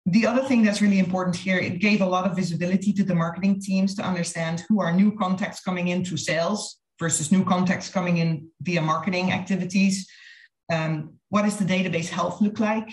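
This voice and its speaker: Low-voiced woman presenting in an echoey room. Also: steady tone, brisk. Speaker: marketing consultant presenting a case study. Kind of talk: slide presentation on a video call. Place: online webinar over video call. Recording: roomy, echoey video-call audio.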